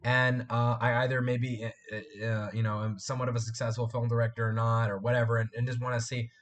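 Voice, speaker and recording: Hoarse eighteen-year-old guy with a cold. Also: mid-range voice, level tone, fairly quick. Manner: rambling, repeats himself, chatty with viewers. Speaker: live stream host and fan film maker. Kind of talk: casual live stream chat. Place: room at home by a window.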